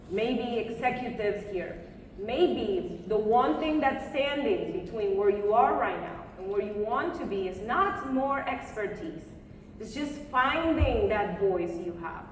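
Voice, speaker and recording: Woman conference speaker, encouraging and direct, raised pitch, heard through heavy room echo. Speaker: public speaking coach giving a conference talk. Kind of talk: live talk with audience questions, coaching advice. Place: conference session room before a live audience. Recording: very echoey hall, far microphone, faint noise.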